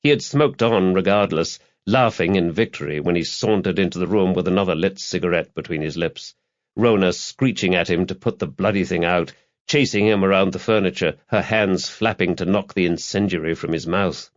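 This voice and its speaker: A man's voice: deep voice, normal speed, highs cut off. A man reading crime fiction as dramatic scripted audiobook narration.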